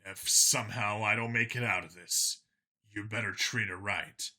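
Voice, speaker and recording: Man voicing a guarded, low-pitched earnest cop. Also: fairly even intonation, moderate pace, bright, forceful tone. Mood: subdued, pleading. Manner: subdued, hesitant. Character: guarded, earnest male cop in science fiction. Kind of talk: audiobook character dialogue.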